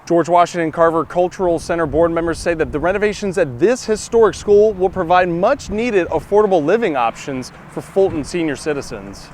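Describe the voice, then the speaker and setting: A man's voice: a little high, a little fast, hardly any pauses, slight background noise. A man in his twenties with an American accent, delivering scripted reports as a television news reporter.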